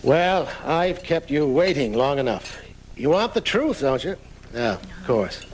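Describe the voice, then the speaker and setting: A man's voice: a little high, very animated, a little slow. An actor playing an aging famous writer in a live radio drama, telling his story in a florid, dramatic way. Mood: genial, teasing. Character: aging famous male writer recounting his own murder, theatrical. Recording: noisy 1980s off-air radio recording.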